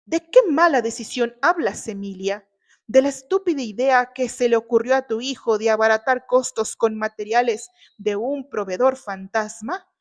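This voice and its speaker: Woman acting an indignant, gruff older father. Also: fairly high pitch, normal speed, lightly acted. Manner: demanding, gruff, scolding. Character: indignant older father, stern family patriarch.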